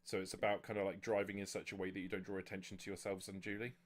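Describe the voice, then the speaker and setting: A man acting as game master at a tabletop roleplaying game, explaining and narrating in a halting, improvised way. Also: sits low, flat delivery.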